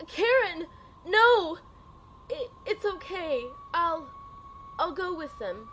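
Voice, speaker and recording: A woman's voice: very high-pitched, sing-song, takes it slowly, a bit bright, exaggerated voice. A woman playing an earnest young female agent in an audio drama, dramatically telling her own adventure. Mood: frightened but brave. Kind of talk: dramatic first-person narration and audio drama acting. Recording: clear background noise.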